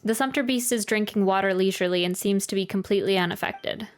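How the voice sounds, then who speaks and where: A woman's voice: medium pitch, fast talker, a little hiss or hum. Chatty woman streaming a video game. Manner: chatty, musing, playful. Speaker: gaming streamer. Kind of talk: casual game playthrough commentary, reading dialogue aloud. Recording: voice over game music. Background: game music.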